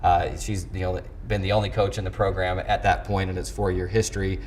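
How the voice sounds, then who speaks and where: Very deep-voiced male sports show host. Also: flat delivery, a little mellow, slight background noise. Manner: wordy, halting phrasing with repeated words. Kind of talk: conversational interview hosting. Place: television studio.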